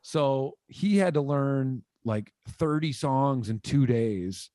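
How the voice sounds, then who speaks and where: Musician guy from michigan rambling through stories on a video call, mid pitch, super dry close mic. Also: slow pace, no echo. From Michigan, USA. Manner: animated, rambling, lots of "like" fillers and gestures. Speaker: guest musician. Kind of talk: casual interview storytelling. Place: home room, indoors. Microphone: studio mic on boom arm, video call.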